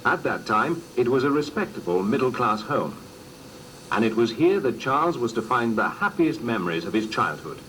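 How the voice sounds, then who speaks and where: Lively male documentary narrator on noisy tape. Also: medium pitch, normal speed. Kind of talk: scripted narration. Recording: noisy old cassette recording.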